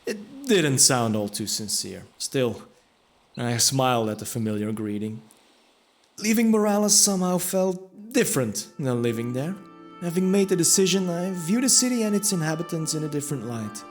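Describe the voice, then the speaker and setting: Reflective male storyteller over music. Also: on the high side. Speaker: narrator. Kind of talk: scripted first-person fantasy narration.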